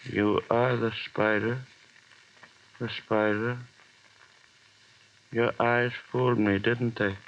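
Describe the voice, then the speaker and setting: A man's voice: mid-range voice, slow pace, pauses often. A doomed man facing a spider. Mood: eerily calm. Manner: breathless first-person monologue, panic turning eerily calm. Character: lone man hallucinating, stalked by a giant spider. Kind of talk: 1930s radio horror acting. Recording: dull vintage radio recording.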